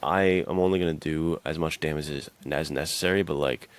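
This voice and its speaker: A man's voice: very deep voice, steady tone, brisk, clean tone. A man co-hosting a podcast, talking casually and informally with plenty of slang. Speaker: podcast co-host.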